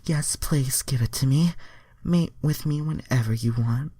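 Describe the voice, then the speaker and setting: A man voicing an eager young female alien heroine from fan fiction in an exaggerated, pleading falsetto. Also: measured pace, mellow, breathy edge, no echo, clean studio sound. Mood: gushing, pleading.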